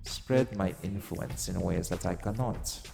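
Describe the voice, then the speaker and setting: A man playing an exiled god of vengeance in an audio roleplay, theatrical and deliberate, coaxing and taunting with dark amusement. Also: sits low, gravelly, full character voice. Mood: brooding, coaxing. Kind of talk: dramatic audio roleplay monologue to the listener. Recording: long cavernous reverb. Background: music.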